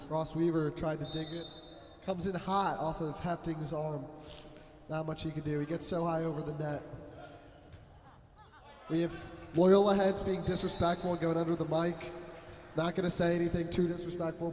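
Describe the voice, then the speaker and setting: A young man giving loud, chatty, joking play-by-play commentary on a school volleyball match from an echoey gym. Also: a little high, level tone, normal speed, a little hiss or hum. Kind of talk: amateur sports commentary. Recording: roomy echoing gym with long reverb.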